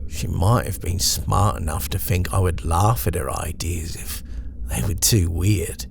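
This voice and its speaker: A British man reading a first-person horror story in a measured, reflective way, as a storyteller. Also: low voice, creaky, slight background noise.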